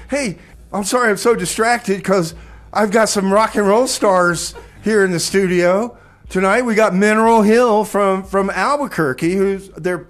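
Male radio co-host, notably high voice, casual comic banter, loose everyday wording.

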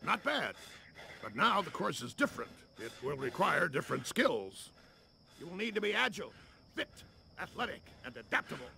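A man playing a gruff army jeep drill sergeant in a cartoon game, barking military commands. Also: on the high side, big pitch swings, moderate pace, slight background noise, exaggerated voice. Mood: stern, demanding. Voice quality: gravelly. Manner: commanding, shouting military bark. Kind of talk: animated game cutscene acting.

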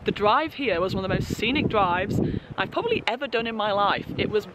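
A woman's voice: medium pitch, lively intonation, brisk, very noisy. A young woman filming herself on a road trip, talking to camera with bubbly enthusiasm and frequent laughs. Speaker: travel vlogger. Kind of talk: excited travel vlog to camera. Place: outdoors and in a car on a road trip. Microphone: camera held at arm's length.

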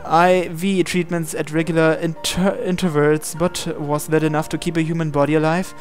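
Guy narrating a visual novel, kinda high voice, trips over lines now and then, faint music. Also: flat delivery, moderate pace. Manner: stumbles and repeats phrases while reading. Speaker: gamer reading a visual novel aloud. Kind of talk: dramatic read-aloud narration with asides. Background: game music.

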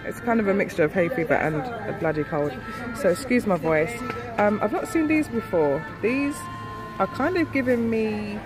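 Woman, stuffy nasal voice, chatty shop vlog over music. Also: lowish voice. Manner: chatty, filler-heavy. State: nasal from a cold. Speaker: beauty vlogger. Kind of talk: casual shop walkthrough. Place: shop aisle, indoors. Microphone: handheld phone camera.

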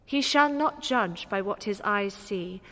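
A woman's voice: medium pitch, animated, a little slow, sharp tone. A woman giving a formal reading of scripture. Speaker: scripture reader. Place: large church. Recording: reverberant church, long echo.

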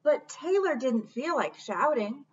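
A woman in her thirties reading a picture book aloud to children with measured, gentle phrasing. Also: high-pitched, sing-song. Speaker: children's library storyteller. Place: small room indoors. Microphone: webcam at about arm's length. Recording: dull webcam sound, distant mic.

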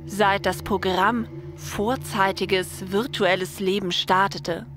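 A woman's voice: medium pitch, steady tone, slow, a bit bright. A woman narrator reading a scripted story. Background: faint music.

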